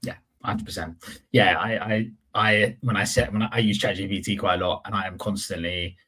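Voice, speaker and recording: A man's voice: fairly low pitch, steady tone, brisk, pushed and bright, breathy edge. A man hosting a podcast in casual chat, giving his opinions informally and circling back to rephrase them. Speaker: podcast host.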